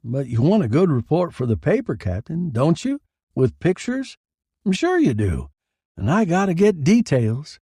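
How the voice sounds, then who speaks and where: A man's voice: a little high, big pitch swings, moderate pace, mellow, slightly rough, dry recording, clean studio sound, pitched above the performer's own voice. A man voicing a pushy, slick young newspaper reporter who fires off snappy, insistent lines in a noir story. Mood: wheedling, pushy.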